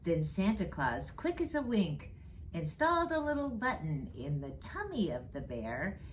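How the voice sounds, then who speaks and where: Slow, expressive woman reading a storybook. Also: fairly low pitch, a little hiss or hum. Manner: slow, expressive, rhyming read-aloud delivery. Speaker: storyteller reading as Mrs. Claus. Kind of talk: read-aloud children's storytime.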